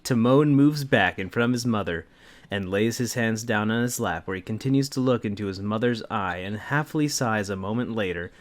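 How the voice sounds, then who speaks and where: A man's voice: fairly low pitch, brisk. A man reading fan fiction aloud in a mock dramatic style, with casual, sarcastic asides and a readiness to break into giggles.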